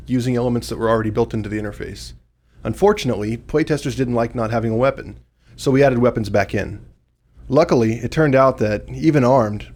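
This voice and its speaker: A man's voice: mid-range voice, darkish tone. A man reading scripted commentary as a game developer.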